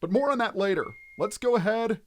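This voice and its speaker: A man hosting a let's play, explaining the game in an expressive, instructional way. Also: high voice, fairly quick, slight background noise.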